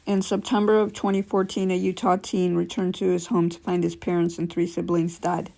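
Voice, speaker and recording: Female storyteller, scratchy and dark-toned, reading real-life creepy tales with offhand asides. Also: on the low side, little pitch movement, fairly quick, creaky. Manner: stumbles and corrects herself while reading. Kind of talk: reading aloud with casual asides.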